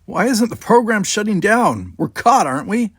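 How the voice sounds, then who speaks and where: A man voicing an excitable, challenging young starship cadet with sharp exclamations and questions in an audiobook. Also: high-pitched, normal speed, breathy edge, no room sound, clean studio sound, voice pushed up, heavily acted. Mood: incredulous. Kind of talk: dramatized audiobook dialogue.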